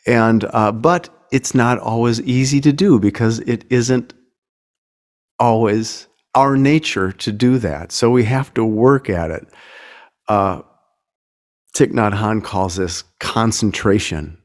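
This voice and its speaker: An American man giving an informal, reflective talk, thoughtful and halting as he searches gently for words. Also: lowish voice. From United States. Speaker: guest singer-songwriter speaking to a congregation.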